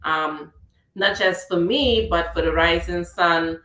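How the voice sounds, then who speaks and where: A woman's voice: fairly low pitch, slow pace, sharp tone, echoey room. A woman from Trinidad with a Trinidadian accent, hosting a casual talk radio chat in a chatty, playful way. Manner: chatty, playful, laughs easily. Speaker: radio show host.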